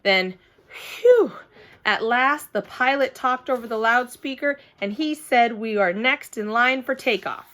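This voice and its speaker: A woman reading a children's book aloud in an expressive, animated storytelling style with dramatic emphasis. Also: a little high, measured pace.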